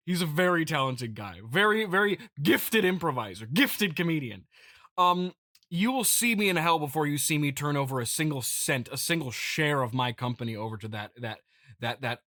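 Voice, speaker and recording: A man improvising a comedic monologue as a smug, petty billionaire boss, speaking in a halting, rambling, mock-pompous way. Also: a little high, sharp tone, dry recording, clean studio sound. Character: smug, petty billionaire CEO boasting to investors. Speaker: comedy podcast host.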